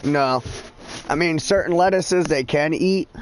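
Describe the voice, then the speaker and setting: A man's voice: a little high, measured pace, a crowd in the background, rough recording. A man in his thirties chatting casually while streaming a game, rambling, profane and exasperated. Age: 30s. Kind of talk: casual chat.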